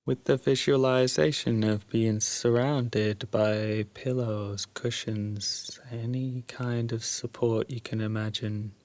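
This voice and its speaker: Male guide for sleep meditation: drowsy, breathy, very unhurried delivery, dry close sound. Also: medium pitch, fairly even intonation, no echo. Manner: soft, drowsy, soothing, with long pauses.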